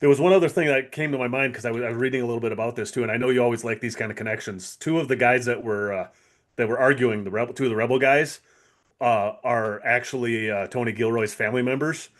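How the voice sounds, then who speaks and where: A man with a mid-pitched voice co-hosts a casual TV review podcast. He talks fast, in an informal and appreciative way. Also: fairly even intonation. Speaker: podcast co-host.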